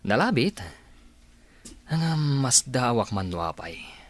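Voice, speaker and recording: A man's voice: mid-range voice, very animated, slow pace. A man playing a gossiping village buddy in an Ilocano radio comedy-drama. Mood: joking.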